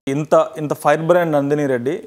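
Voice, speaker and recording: A man's voice: on the high side, steady tone, fast talker, darkish tone. A man with a Telugu Indian accent asking questions as the interviewer in a conversational film interview.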